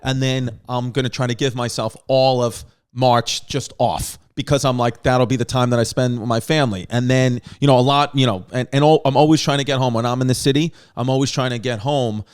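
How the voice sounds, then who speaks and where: A man with a New York accent hosting a comedy podcast, talking in a rambling, jokey way with quick asides. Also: medium pitch, fairly even intonation, clear, resonant tone. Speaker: podcast host. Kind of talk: casual comedic chat.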